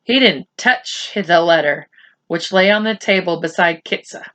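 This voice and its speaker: A woman reading a story aloud to children expressively and deliberately, with teacherly asides. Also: on the low side, a bit bright.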